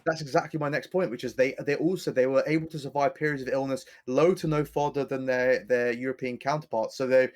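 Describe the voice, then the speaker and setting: A man's voice: medium pitch, fairly even intonation, brisk, hardly any pauses. A man co-hosting a history podcast, talking in a rambling, self-correcting, playful way. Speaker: podcast co-host. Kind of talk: casual history podcast chat.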